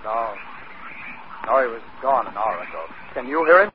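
An actor playing a plain-spoken, halting spaceman facing death in a 1950s radio drama. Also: mid-range voice, sing-song, lightly acted. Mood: resigned farewell. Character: gruff dying spaceman softened by shame. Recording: muffled, hissy 1950s radio recording.

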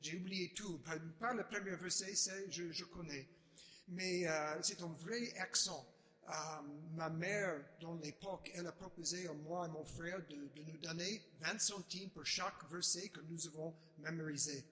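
American man, testimony speaker; slightly high, crisp-bright voice, stop-start second-language delivery. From United States (Chicago). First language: English. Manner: halting, frequent restarts, slips in English words. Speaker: guest speaker giving a testimony. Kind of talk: unscripted personal testimony.

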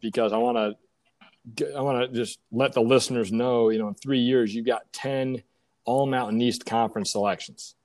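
A man's voice: mid-range voice, darkish tone, no room sound. A man hosting a podcast interview, conversational and enthusiastic, wordy and often doubling back on his phrases.

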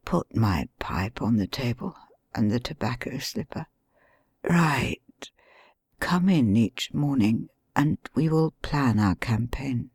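Man reading as an injured detective, hoarse whisper, weak muttering, low and hushed, dry. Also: animated, slow, no room sound, exaggerated voice. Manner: weak muttering, urgent instructions. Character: injured bandaged male detective, weak but scheming. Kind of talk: audiobook reading.

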